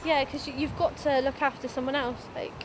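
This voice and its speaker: A woman's voice: high voice, fairly even intonation, fairly quick, noisy background. A 19-year-old woman giving a candid documentary interview, informal and a little hesitant, as if thinking aloud. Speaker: young mother being interviewed.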